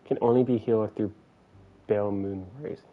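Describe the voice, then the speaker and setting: A man's voice: on the low side, fairly even intonation, brisk, narrow, tinny audio. A man giving casual, informal commentary as he livestreams a video game, thinking aloud as he plays.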